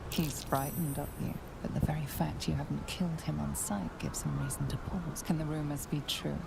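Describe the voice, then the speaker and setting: A woman voicing a calm, watchful narrator of a dark fantasy game, telling the story in a measured, dramatic way. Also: sits low, gravelly, background noise. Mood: grave, suspenseful. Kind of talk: scripted second-person game narration.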